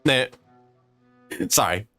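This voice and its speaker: A woman streamer with a hoarse throat, reacting to a game with crude jokes and frequent laughter. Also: extremely slow, bright, forceful tone, faint music behind. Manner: joking, crude, laughs often.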